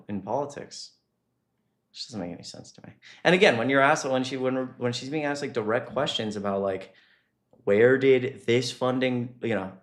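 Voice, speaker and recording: A male streamer delivers casual political commentary in an exasperated manner. He speaks off the cuff, often halting and reworking his point, and swears as he goes. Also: medium pitch.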